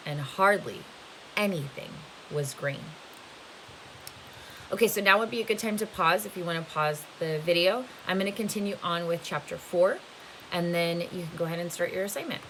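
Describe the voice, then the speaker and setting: A woman reading a novel aloud as a teacher would to students, with expressive storytelling narration. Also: on the low side, rough recording. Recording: faint hiss behind the voice. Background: faint steady hiss.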